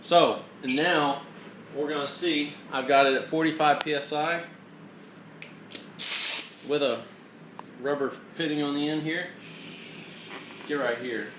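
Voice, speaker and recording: A man's voice: fairly high pitch, measured pace, long pauses. A man giving an informal, hands-on mechanical tutorial, explaining step by step in a plainspoken way. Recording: roomy echo, clear background noise.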